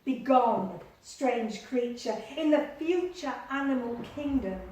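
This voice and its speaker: A woman's voice: fairly high pitch, lively intonation, slow, a little mellow. A woman reading aloud as a stern, dismissive male ark builder who gives commands. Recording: distant voice in a very echoey room.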